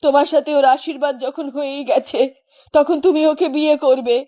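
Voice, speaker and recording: Murdered young village woman's ghost, acted by a woman: high-pitched, solemn, grieving, cartoon melodrama. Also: fairly even intonation, a little fast, mellow, highs cut off, natural voice. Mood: solemn pleading. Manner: mournful, solemn, earnest.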